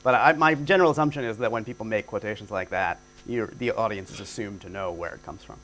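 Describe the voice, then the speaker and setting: A man's voice: lowish voice, fast, a bit bright, a little hiss or hum. A middle-aged man giving an academic lecture and answering questions, thinking aloud with fillers, on a lapel mic in a lecture hall. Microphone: lapel mic at a lectern.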